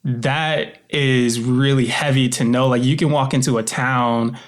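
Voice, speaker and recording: A man's voice: mid-range voice, fairly even intonation, unhurried. A man speaking as an interview guest in an earnest, reflective way, often voicing hypothetical quotes as he explains himself. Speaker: interview guest, author and voice actor.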